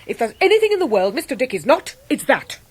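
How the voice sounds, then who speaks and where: Imperious English great-aunt, swooping pitch. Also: female voice, sing-song, fast, breathy edge, heavily acted. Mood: brisk, probing. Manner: blunt, emphatic, indignant. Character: brusque, eccentric older great-aunt, fiercely protective, imperious. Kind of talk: 1990s British radio drama acting.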